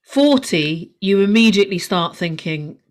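Chatty woman in her forties, lively pitch. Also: unhurried. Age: 40s. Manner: chatty, laughing, swearing freely. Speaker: podcast co-host. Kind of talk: casual chat.

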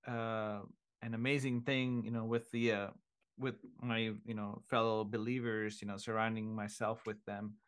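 A middle-aged man from Sri Lanka with a smooth, resonant voice. His pitch sits in the middle. He talks in a hesitant, unhurried way, often stopping on fillers as he shares his story. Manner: halting, many ums and uhs. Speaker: guest sharing his faith story. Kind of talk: casual faith testimony.

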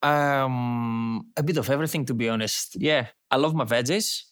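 A man's voice: mid-range voice, normal speed, a bit bright, smooth. A man in his thirties with a slight Greek accent, a chef being interviewed, easygoing and hesitant with fillers. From Greece. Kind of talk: casual interview.

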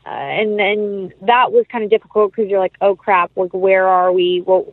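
A woman's voice: mid-range voice, steady tone, moderate pace, no room sound. A woman giving a casual interview as an actress, chatting informally with plenty of "like" and "you know". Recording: phone-like narrow-band line, dry.

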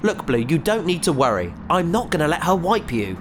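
A woman playing a gentle, enthusiastic scientist aboard a spaceship in a sci-fi audio drama. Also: deep voice, big pitch swings, a little fast, background noise. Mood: reassuring, affectionate.

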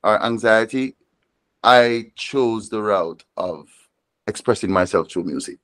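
A Jamaican man with a fairly low-pitched voice speaks in an unhurried, thoughtful way. He often repeats a word or restarts a sentence while he reaches for the next thought. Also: a bit bright. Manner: reflective, frequent restarts and repeated words. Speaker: reggae artist, guest. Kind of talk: conversational interview.

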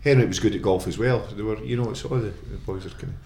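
A man's voice: on the low side, fast talker, vocal fry, dark tone. A man chatting as a guest, with a dry, teasing humour. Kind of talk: casual chat. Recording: echoey room with noise.

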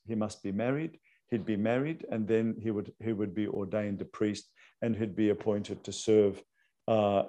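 A priest, explaining unscripted with hesitant fillers and restarts. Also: male voice, on the low side, dark tone. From Australia.

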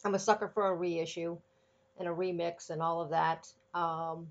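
Congested woman with gravelly voice. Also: fairly low pitch, little pitch movement, moderate pace, highs cut off. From Boston, Massachusetts. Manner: chatty, frequent ums and uhs. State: congested from a cold. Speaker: podcast host. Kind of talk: casual podcast monologue.

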